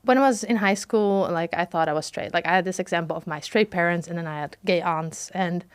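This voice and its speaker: A woman's voice: on the low side, brisk, smooth, no echo. Woman guest rambling happily, giggles a lot, says "like" constantly, dry sound. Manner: relaxed and giggly, heavy use of "like" and "you know". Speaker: podcast guest. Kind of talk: casual conversational storytelling.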